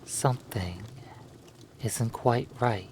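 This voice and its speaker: Very slow hypnotic storyteller. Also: medium pitch, a little mellow, slight background noise. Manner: measured, halting delivery in short phrases with long pauses. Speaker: hypnosis roleplay narrator. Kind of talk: slow second-person hypnosis story narration.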